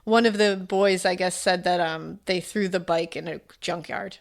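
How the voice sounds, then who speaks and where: A woman co-hosting a true-crime podcast in casual chat, animated and repeating her points for emphasis. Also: lowish voice, moderate pace, vocal fry. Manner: animated storyteller, repetitive emphasis. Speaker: podcast co-host.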